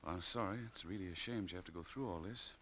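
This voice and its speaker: An American actor playing a polite, awkward shop manager in 1940s radio drama, hesitant and stammering, on an old recording. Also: male voice, low-pitched, fast talker, a bit bright, a little hiss or hum. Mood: polite concern. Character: mild-mannered, awkward male shop manager visiting an ailing employee.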